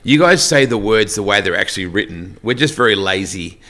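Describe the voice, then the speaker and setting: A man's voice: lowish voice, animated, fairly quick, a bit bright. A middle-aged Australian man, a race announcer, chatting as a podcast guest and telling stories with joking asides. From Western Australia. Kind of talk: casual podcast interview.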